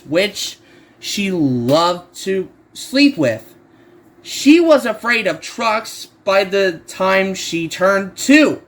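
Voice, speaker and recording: A man narrates a creepy story as an amateur reader. His voice sits quite high for a man and he goes slowly. His delivery is halting, with words repeated and restarted as he reads. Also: fairly bright tone. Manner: halting, stumbles and repeats words while reading. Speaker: narrator of horror stories. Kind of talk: amateur creepypasta reading aloud.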